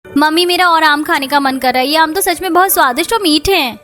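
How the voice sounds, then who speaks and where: A self-centred college girl in a cartoon family drama, voiced by a woman. She is high-pitched, pouty and demanding, with music underneath. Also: fast, bright, forceful tone. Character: self-centred young college-going sister-in-law.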